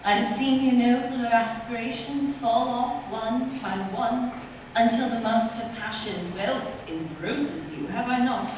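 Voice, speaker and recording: A woman playing a sorrowful, resolute young fiancée in a period radio play, with measured, earnest delivery. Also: fairly high pitch, natural voice. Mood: sad, resolute. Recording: distant echoey hall, faint noise.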